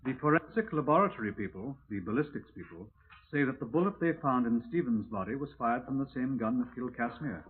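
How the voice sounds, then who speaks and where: An actor playing a deferential, methodical British detective in a formal, measured 1950s radio drama style on an old recording. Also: male voice, mid-range voice, expressive, husky. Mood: pondering. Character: deferential, methodical male Scotland Yard detective. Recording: tinny, narrow-band vintage radio recording.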